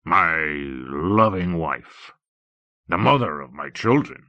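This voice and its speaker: A man voicing a bitter, weary, hot-tempered king in an audiobook, speaking bluntly and forcefully in drawn-out phrases. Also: sits low, expressive, no echo, muffled recording, heavily acted. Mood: sad, regretful. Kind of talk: audiobook character acting.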